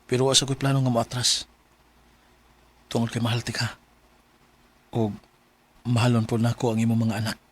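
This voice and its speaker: Male actor as devoted young suitor, Cebuano Filipino accent, sincere, earnest, mid-pitched, radio drama. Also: flat delivery, moderate pace, pauses often, breathy edge, close to an everyday voice. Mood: earnest, tender. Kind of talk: Philippine radio drama acting.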